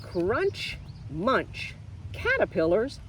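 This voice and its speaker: A woman teacher reading a picture book to young children outdoors, expressive and asking them questions directly as she explains things simply. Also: medium pitch, extremely slow, slight background noise. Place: outdoors in nature.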